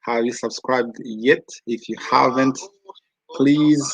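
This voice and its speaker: A man's voice: medium pitch, steady tone, slow pace, gravelly, fairly bright tone, breathy edge, no room sound, muffled recording. A man from Rwanda hosting a casual online interview, chatty and full of fillers and restarts. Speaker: host.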